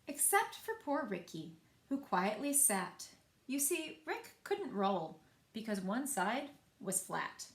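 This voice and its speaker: A woman reading picture books aloud in an expressive way, speaking directly to young listeners and asking them questions. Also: fairly high pitch, takes it slowly. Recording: echoey room.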